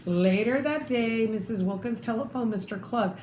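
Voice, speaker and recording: A woman reading a children's picture book aloud expressively, pausing to add her own comments. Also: on the high side, a little mellow. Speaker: storybook reader. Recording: echoey room with faint background noise.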